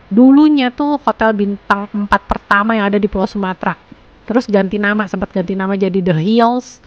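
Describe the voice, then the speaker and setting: Woman, mid-pitched, tour-guide voice-over, dry and slightly muffled sound. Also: dry recording. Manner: unhurried guided-tour narration. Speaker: travel vlogger. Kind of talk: voice-over narration.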